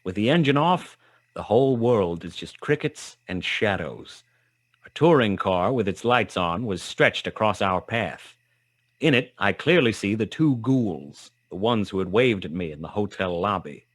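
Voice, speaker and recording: A hardboiled detective tells his tale in terse, wry noir style. The voice sits low and is recorded very dry, close to radio-play narration. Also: lively intonation, a little slow, no room sound. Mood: tense, wry.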